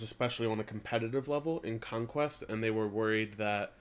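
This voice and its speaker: Young male gaming host, nasal from allergies, casual and slangy, reads as he talks. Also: mid-range voice. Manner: loose, slangy, talks while reading text. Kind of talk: casual patch-notes commentary.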